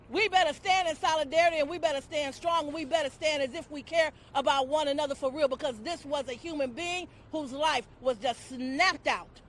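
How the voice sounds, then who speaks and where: Loud, forceful woman giving a rally speech. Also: high voice. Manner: loud, forceful, preacherly cadence. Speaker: union director and community advocate.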